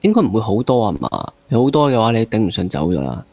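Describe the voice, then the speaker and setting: A man's voice: lowish voice, very animated, quick pace, vocal fry, darkish tone, dry recording. Hong Kong man interviewing, close dry sound. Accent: Hong Kong Cantonese. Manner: probing, summing up and playfully challenging the guest. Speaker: podcast interviewer. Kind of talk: casual conversational interviewing.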